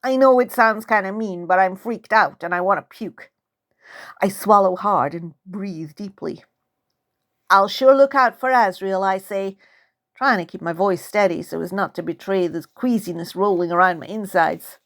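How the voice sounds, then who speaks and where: A woman's voice: mid-range voice, fairly even intonation, moderate pace. A woman narrating a first-person story as its author, in a dramatic, suspenseful style. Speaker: author reading own novel.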